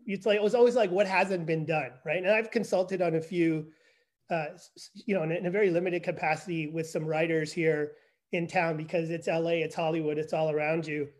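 High-voiced chatty man in his fifties. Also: steady tone, brisk. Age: 50s. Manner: chatty, self-deprecating. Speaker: guest, forensic evaluator. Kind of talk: casual conversation.

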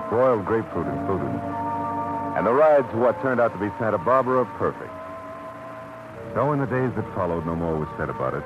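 Low-voiced hard-boiled detective, vintage radio. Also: male voice. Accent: American. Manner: hard-boiled, clipped, wry. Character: tough, wry private detective, narrating his own case. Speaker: lead actor and narrator. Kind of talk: classic radio detective drama, first-person narration. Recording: muffled vintage radio recording with loud music.

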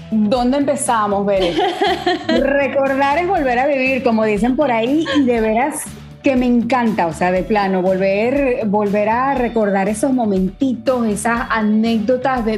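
A woman in her forties from Ecuador, a podcast guest telling stories in a relaxed, chatty way. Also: fairly high pitch, measured pace, soft background music. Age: 40s. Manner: warm storytelling with fillers. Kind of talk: casual chat.

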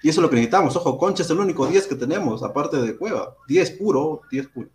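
A Peruvian man co-hosting a football podcast, giving animated opinions with many fillers. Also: on the high side, fast talker. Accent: Peruvian Spanish. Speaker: podcast co-host. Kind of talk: casual football talk.

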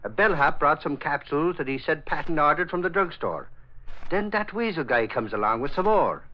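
An actor playing a brash, talkative and rather dim Irish reporter in an old radio drama, with folksy, blustering, ungrammatical talk. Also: male voice, on the high side, very animated, brisk. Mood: chatty. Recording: muffled, noisy old radio recording.

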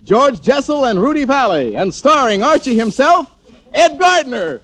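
A man's voice: very high-pitched, big pitch swings, moderate pace, heavy background noise. A man working as a 1940s radio announcer, introducing a show and reading its sponsor message in an emphatic, showmanlike way. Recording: vintage radio broadcast with music.

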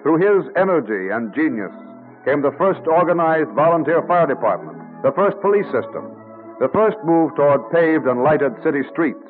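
Old radio narrator guy, mid pitch, grand and formal, tinny sound, faint music behind. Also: animated, normal speed. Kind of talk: scripted 1940s-style radio drama narration. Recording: narrow-band vintage radio recording.

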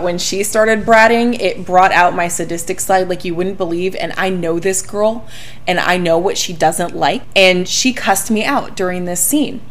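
Woman podcast co-host, casual and animated, quick to laugh. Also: mid-range voice. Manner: animated, laughs often, emphatic about safety.

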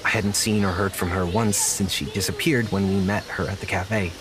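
An actor playing a naive, apologetic young zookeeper in an audio drama, speaking earnestly with halting stammers. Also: male voice, sits low, fairly even intonation, background noise, natural voice. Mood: regretful. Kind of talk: naturalistic audio drama acting.

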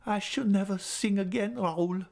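A man voicing a despairing young woman singer, speaking softly and pleadingly in a raised voice. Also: high-pitched, a little slow, vocal fry, voice pushed up.

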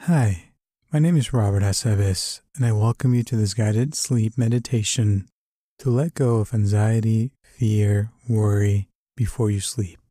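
A man narrating a guided sleep meditation in a calm, unhurried, soothing manner, giving gentle instructions. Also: fairly low pitch, dry recording, clean studio sound.

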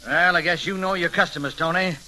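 An actor playing a matter-of-fact, folksy sheriff in old-time radio drama style, on a noisy old recording. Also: male voice, high voice, fairly even intonation, quick pace, pushed and bright. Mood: casual, wry.

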